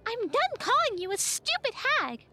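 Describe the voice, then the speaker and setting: A woman voicing a cranky, sarcastic old witch healer in a screechy, high-pitched voice for a fantasy story. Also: very animated, a little slow, background noise, heavily acted. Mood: screeching, scolding. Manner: mocking, nagging, insulting.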